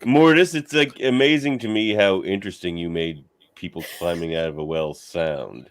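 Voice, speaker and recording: A man casually hosting and judging a comedy panel debate, speaking in a somewhat halting way with repeated starts. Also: low voice. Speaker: debate show host and judge.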